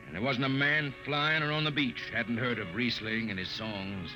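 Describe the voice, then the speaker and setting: A man's voice: mid-range voice, lightly acted, rough recording. A man narrating a 1950s radio drama as a spaceship's chief jetman, earnest in tone. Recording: old radio broadcast with loud music bed.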